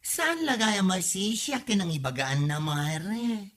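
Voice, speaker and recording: A woman plays a nosy, teasing friend in a comedy drama. The voice swings widely in pitch, with a bright, forceful tone and exclamatory delivery. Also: a little slow. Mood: curious, prodding. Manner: animated, exclamatory. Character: teasing, gossipy friend of the women, a busybody. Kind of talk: comedy drama acting for a podcast.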